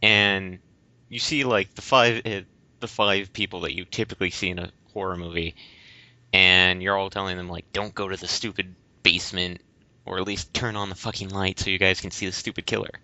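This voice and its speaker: Bright-voiced man in halting casual discussion, lo-fi. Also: low-pitched, steady tone, dry recording. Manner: halting, rambling explanations. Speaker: podcast co-host. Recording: dull, lo-fi voice recording.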